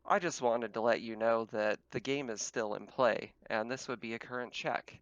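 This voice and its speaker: A man playing a smugly polite villain in a tabletop game, measured, formal and calmly menacing. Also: mid-range voice, no room sound, highs cut off, natural voice. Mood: calmly taunting. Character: smooth, smugly polite male villain taunting by video call.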